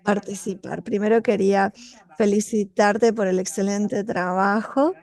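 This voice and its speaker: Flat, mellow-voiced woman interpreter. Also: mid-range voice, a little slow. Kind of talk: simultaneous interpretation.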